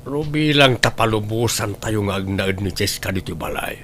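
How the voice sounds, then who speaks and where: A man playing a cautious father in an audio drama, with an Ilocano accent and a measured, hesitant manner. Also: lowish voice, animated, music in the background, lightly acted. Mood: doubtful, concerned. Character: cautious father, head of a rural household.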